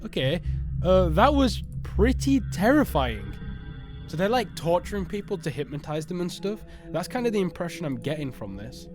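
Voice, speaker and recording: A man's voice: high-pitched, very animated, normal speed, over music. A man giving casual, exclamatory and incredulous live reaction commentary as host of a reaction video.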